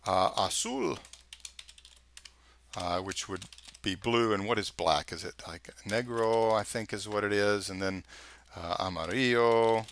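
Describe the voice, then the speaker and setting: A man with a Texas accent, walking through a software screencast in a casual, think-aloud way. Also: fairly low pitch, a little slow, a little hiss or hum. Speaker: software instructor. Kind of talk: screencast tutorial walkthrough. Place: voice-over of a screen recording.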